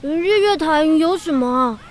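A woman's voice: high-pitched, expressive, moderate pace. A woman playing a meek, earnest, hesitant schoolchild in a children's audio drama.

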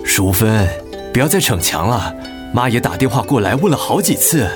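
A man's voice: mid-range voice, big pitch swings, moderate pace, over music, close to an everyday voice. A man playing a busy, practical, concerned husband and father in a radio drama, with a Taiwanese Mandarin accent. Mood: practical, pressing. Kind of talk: dramatic radio play acting.

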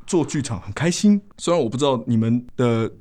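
Mellow Taiwanese Mandarin man, casual. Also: medium pitch, expressive, a little fast. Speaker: guest, theatre performer. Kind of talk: casual chat.

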